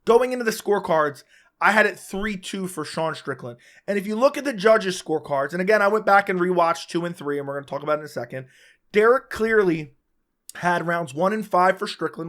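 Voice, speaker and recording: A man with a fairly high voice talks straight to his audience, hoarse and worn because he is sick and losing his voice. He runs through his take in a casual, opinionated way, rushing through some stretches and stumbling over a word now and then. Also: fairly bright tone. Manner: casual, opinionated, talks fast in bursts with restarts. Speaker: MMA commentator, channel host. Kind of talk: solo post-fight recap to camera.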